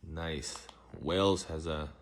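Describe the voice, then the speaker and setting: A man's voice: rumbling low, steady tone, slow. A man hosting a casual live-stream Q&A as a vlogger, rambling loosely with many restarts and fillers. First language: Russian. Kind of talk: casual live-stream chat answering comments.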